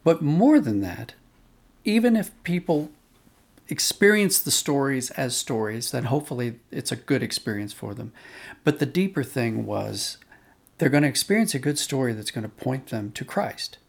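A man's voice: medium pitch, sing-song, moderate pace, creaky. A man talking thoughtfully as an interview guest, explaining with emphasis on key words. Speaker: interview guest, audio drama producer.

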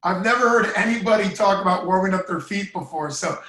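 A man's voice: high-pitched, brisk, pushed and bright, roomy sound. A talkative man hosting an interview podcast and asking long, friendly questions. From United States. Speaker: podcast host. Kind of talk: casual interview.

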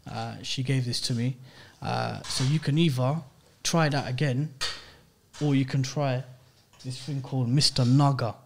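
A man's voice: mid-range voice, steady tone, a little slow, vocal fry, background noise. Slangy London man hosting a podcast. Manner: slangy, exhortative, repeats phrases for emphasis. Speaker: podcast host. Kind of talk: casual podcast chat.

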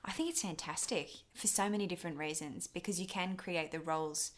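A woman's voice: on the low side, a little fast. A young woman with an Australian accent giving casual interview answers, candid and self-deprecating as she rambles through her thoughts. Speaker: interview guest, actor and filmmaker.

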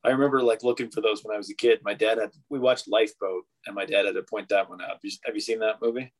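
A man's voice: fairly low pitch, steady tone, fast talker, low-quality recording. A man in casual conversation about a classic film, thinking aloud and often restarting his sentences. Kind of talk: casual movie discussion.

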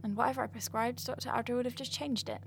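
A woman's voice: fairly high pitch, steady tone, quick pace, gravelly. Woman acting an earnest, rambling doctor, music behind. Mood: resigned. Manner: rambling, conversational, thinking aloud. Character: earnest young woman alien-clinic doctor, flustered and wry. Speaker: doctor dictating a patient letter. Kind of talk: audio drama, naturalistic dictation.

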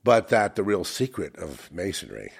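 A man's voice: sits low, very animated, normal speed, creaky, breathy edge. An older man with a head cold talks in a rambling, reflective way and often stops to correct himself. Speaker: channel host. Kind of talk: casual spiritual monologue.

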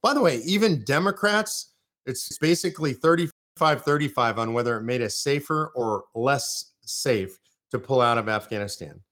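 A man's voice: medium pitch, expressive, moderate pace, a bit bright. An American man from California hosting a live political commentary show, ranting in heated, punchy bursts of repetition.

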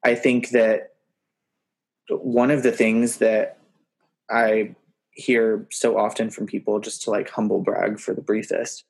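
A young man in his twenties with a slightly low voice. He tells stories in a cheerful, chatty way during a relaxed conversation. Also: slow pace, gravelly, breathy edge. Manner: upbeat, storytelling, chatty. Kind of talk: casual chat.

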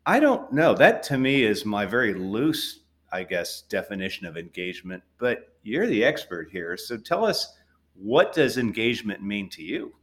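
American male host, low voice with animated pitch; chatty questioner. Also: normal speed. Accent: General American. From United States. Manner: chatty, rephrases to check understanding. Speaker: podcast host. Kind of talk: interview questions.

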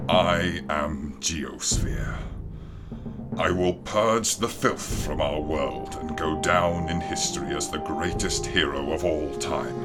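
An actor playing an aging, fanatical alien king and father in a sci-fi audio drama, declaiming solemnly and grandly. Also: extremely low pitch. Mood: grandiose, defiant.

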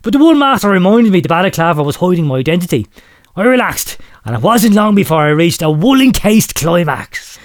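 A man's voice: sits high, fairly quick, no room sound, studio quality. A man hosting a comedy podcast, reading out a listener letter with mock drama and frequent laughter. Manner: laughs often while reading, lively mock storytelling. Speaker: podcast host.

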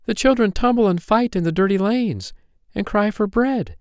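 A man plays a little fairy girl from a children's storybook, pitched far up and swooping with feeling. She is impulsive and tender, exclaiming with earnest wonder. The sound is clean and close, with very little room. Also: very animated, moderate pace, no echo. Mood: distressed, pleading. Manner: exclaiming, wide-eyed and earnest.

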